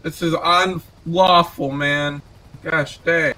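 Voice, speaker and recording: A man's voice: fairly high pitch, fairly even intonation, takes it slowly, bright, forceful tone, poor audio. A man improvising an indignant, complaining suspect in a game role-play.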